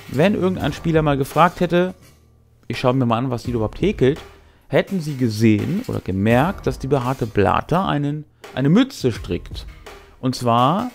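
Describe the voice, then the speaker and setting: A middle-aged man, sick and tired, hosting a casual, colloquial recap of a tabletop role-playing game and explaining it with dramatic pauses. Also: mid-range voice. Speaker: host and game master. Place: small furnished room with brick wall. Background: music.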